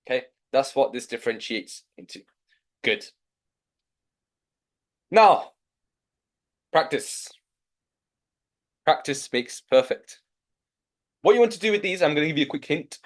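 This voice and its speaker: A young man with a Yorkshire accent teaching a live online maths lesson, coaxing his students along with steady encouragement. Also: fairly high pitch. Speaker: maths tutor.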